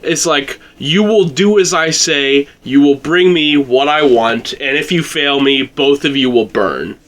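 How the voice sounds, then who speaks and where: A man speaking as a guest in a casual discussion, explaining his point with excitable emphasis. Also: on the high side, unhurried.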